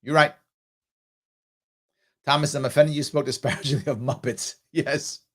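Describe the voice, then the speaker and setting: Casting note: middle-aged New man, live-stream host, casual and offhand, mid-pitched voice. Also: steady tone, normal speed, fairly bright tone. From New York City, South Bronx. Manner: reads chat comments aloud, then answers offhand with fillers. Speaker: livestream host answering viewer questions. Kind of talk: live ask-me-anything chat.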